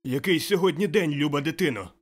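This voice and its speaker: A man's voice: on the high side, fairly quick, pitched above the performer's own voice, close to an everyday voice. A man voicing an old miser turned overjoyed and giddy, exclaiming breathlessly in an audiobook. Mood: eager. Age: older. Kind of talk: audiobook character voice.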